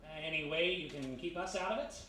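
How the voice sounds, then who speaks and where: Man acting a high-voiced, wry private eye, echoey stage. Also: quick pace, bright, forceful tone. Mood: smooth, evasive. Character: wry young male private detective, ex-thief, smooth-talking charmer. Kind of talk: live 1930s-style radio mystery acting. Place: live stage performance in historic house. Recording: echoey live hall, faint noise.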